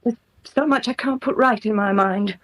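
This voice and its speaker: An actress with an English accent playing a composed, guarded married daughter in a radio crime drama, with a cool, formal, wry delivery. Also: female voice, on the high side, normal speed, fairly bright tone. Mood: troubled, confiding.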